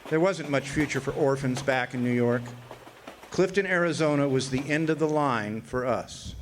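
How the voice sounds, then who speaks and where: A man narrating a radio play as a grown former orphan looking back on his boyhood, in measured storytelling. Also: medium pitch, a little hiss or hum, natural voice. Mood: reflective.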